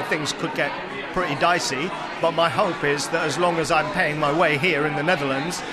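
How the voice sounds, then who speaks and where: A man from England with a Yorkshire accent, telling his story in a chatty, self-deprecating way in a street interview. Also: a little high. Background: crowd noise.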